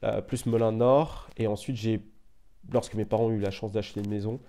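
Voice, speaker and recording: A young man from France chatting casually as an interview guest, relaxed and meandering, full of fillers and "you know.". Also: lowish voice, a little fast. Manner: relaxed, meandering, frequent "euh" and "tu vois". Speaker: interview guest, media founder.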